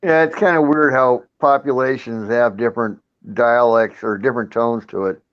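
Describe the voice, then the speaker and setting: A man's voice: mid-range voice, fairly even intonation, normal speed, smooth, no room sound, dull audio. An older man who retired from law enforcement, chatting as a guest in a friendly, agreeable way. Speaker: chat guest, retired police officer.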